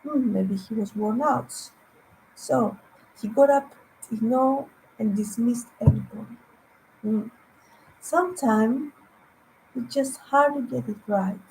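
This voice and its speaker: A woman evangelist whose first language is Greek, preaching online in a halting way, repeating words and humming between thoughts. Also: a little high, gravelly, darkish tone, slight background noise, poor audio. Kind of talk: online sermon with anecdotes.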